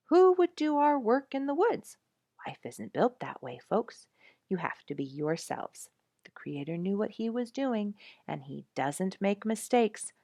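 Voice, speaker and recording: A woman's voice: medium pitch, darkish tone, dry recording, studio quality. A woman voicing a blunt, self-assured young male porcupine who lectures in a folksy, emphatic way, as in a storybook. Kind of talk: storybook character speech.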